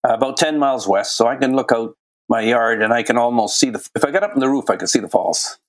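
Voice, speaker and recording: Relaxed Canadian man, guest pilot. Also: medium pitch, quick pace. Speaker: guest, volunteer chief pilot. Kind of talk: interview answers.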